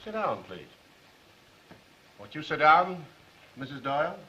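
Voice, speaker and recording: An actor playing a shrewd district attorney in a 1930s film, questioning a suspect with deliberate, mock-polite insistence. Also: male voice, fairly high pitch, big pitch swings, bright, forceful tone, exaggerated voice. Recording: muffled 1930s film soundtrack.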